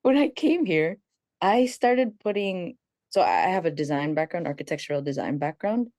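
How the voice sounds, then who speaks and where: Low, dark-voiced woman guest. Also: normal speed, dry recording, studio quality. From Philippines. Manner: animated storyteller, swears casually, laughs. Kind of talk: casual radio conversation.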